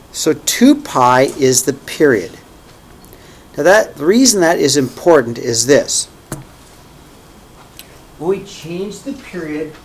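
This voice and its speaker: A man teaching a math class, lively and repetitive, often checking in with "okay?" and "right?". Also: on the high side, a little hiss or hum. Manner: energetic, repeats key points, asks "okay?" and "right?" often. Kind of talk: classroom lecture.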